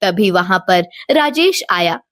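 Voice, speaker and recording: A woman's voice: medium pitch, sing-song, slow pace, fairly bright tone, no echo, studio quality. A woman storyteller giving scripted narration of a moral tale.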